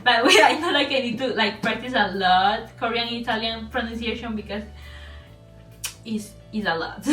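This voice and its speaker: A woman's voice: medium pitch, a bit bright. A woman vlogger with a strong non-native English accent, talking casually to camera, rambling with many fillers and restarts and laughing often. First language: not English. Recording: echoey room, voice a bit far from mic, faint music.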